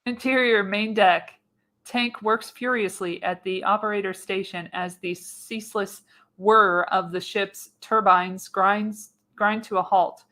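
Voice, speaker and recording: A woman's voice: medium pitch, fairly even intonation, a little slow, bright, forceful tone. A woman reads scene directions as narrator of a radio play, in scripted narration with an occasional stumble.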